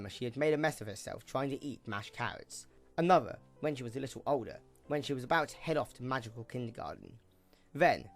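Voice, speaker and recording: A male narrator reads a scripted audio drama. His voice is medium in pitch and moves up and down expressively as he tells the story. Soft music sits behind him.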